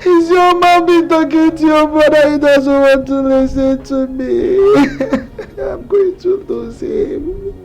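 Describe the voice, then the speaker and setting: A woman's voice: high voice, slow, darkish tone, soft background music, rough recording. A woman playing a distraught royal mother who begs tearfully and repeats herself, fearing for her only son. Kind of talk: melodramatic film acting.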